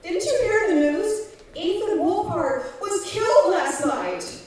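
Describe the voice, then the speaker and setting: Woman as brisk, very high-voiced theater colleague. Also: lively intonation, a little mellow, full character voice. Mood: matter-of-fact. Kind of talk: old time radio comedy acting. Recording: echoey hall, distant stage mic.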